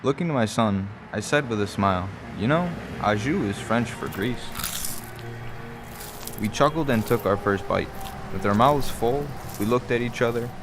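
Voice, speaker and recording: A middle-aged man playing a wry, measured father who narrates a strange roadside tale in a radio play. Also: medium pitch, animated, close to an everyday voice, low-quality recording. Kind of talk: scripted first-person radio play narration. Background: music.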